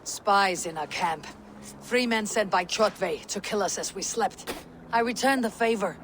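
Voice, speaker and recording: An actress playing a bold, loyal Viking warrior in a video game, with a blunt, defiant, terse delivery. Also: female voice, medium pitch, unhurried, fairly bright tone, slight background noise, natural voice. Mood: grim. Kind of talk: dramatic video game cutscene acting.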